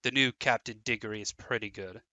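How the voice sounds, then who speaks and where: A man's voice: on the low side, steady tone, fairly quick, pushed and bright, no room sound. A man playing an intense, driven teenage boy sports captain in an audio drama, urgent and emphatic. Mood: livid, urgent.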